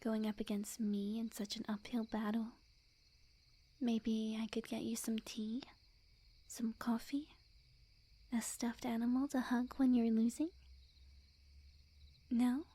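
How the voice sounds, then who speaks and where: A woman playing a sweet, smug, possessive witch in love, in a soft, breathy ASMR roleplay addressed to the listener. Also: on the high side, flat delivery. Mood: smug, teasing. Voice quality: soft, breathy, often whispered. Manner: slow, coy, teasing, overconfident.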